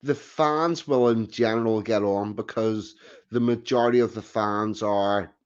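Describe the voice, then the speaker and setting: A man's voice: lowish voice, steady tone, measured pace, smooth. A man hosting a casual chat, giving blunt opinions with frequent restarts. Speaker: host. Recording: dull lo-fi sound.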